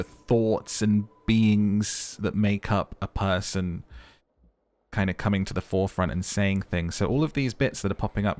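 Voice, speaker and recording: A man's voice: lowish voice, darkish tone. A man hosting a let's play, mixing dramatic narration with casual commentary.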